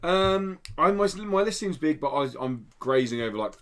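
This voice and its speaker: A man's voice: fairly high pitch, expressive, normal speed, a bit bright. A man co-hosting a gaming podcast, chatting informally and in a rambling, mildly sweary way. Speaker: podcast co-host. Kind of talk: casual gaming chat.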